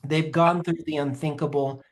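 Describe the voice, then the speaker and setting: Man host, earnest, gushing, stumbling restarts, slightly high voice. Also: steady tone. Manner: earnest and effusive, halting restarts. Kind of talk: online panel conversation.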